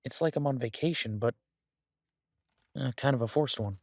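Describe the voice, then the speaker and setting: A man's voice: mid-range voice, moderate pace, background noise, close to an everyday voice. A man playing a doting, restless mafia boss in an audio roleplay, talking conversationally with theatrical exasperation. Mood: candid, affectionate. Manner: conversational, exasperated, swearing, playfully dramatic. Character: male mafia boss, doting, restless, stuck baking in a safe house. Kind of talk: audio roleplay, one side of an intimate phone conversation.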